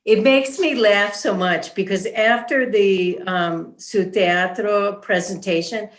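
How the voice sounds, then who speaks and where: A woman's voice: medium pitch, slow pace. Older-ish lady on a video call, echoey room, chatty and amused, telling stories. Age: middle-aged or older. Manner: amused, conversational. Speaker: panelist, academic. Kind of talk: casual anecdotal storytelling. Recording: roomy echo on video call.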